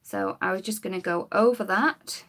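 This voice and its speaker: A woman, her voice a bit croaky, demonstrating coloring with markers in a chatty live-stream lesson. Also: mid-range voice, very animated, moderate pace, fairly bright tone. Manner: chatty, talks while working, greets viewers. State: slightly croaky voice.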